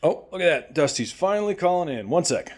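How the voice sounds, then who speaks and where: An actor playing a friendly, nervous post-apocalyptic radio host, chatty and stammering, in a comedic audio drama. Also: male voice, fairly high pitch, sing-song, brisk. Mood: curious, upbeat.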